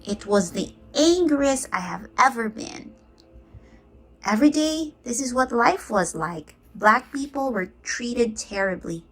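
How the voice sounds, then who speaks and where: A woman's voice: on the high side, lively intonation, unhurried, a bit bright. A woman reading a children's storybook aloud, expressively acting out the dialogue. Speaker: storybook reader.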